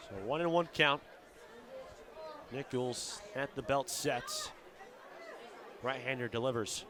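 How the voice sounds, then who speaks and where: A man's voice: medium pitch, slow. A man calling baseball play-by-play for radio in short, clipped phrases that lift with the action. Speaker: play-by-play commentator. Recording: ballpark crowd and outdoor ambience behind. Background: crowd at an outdoor ballpark.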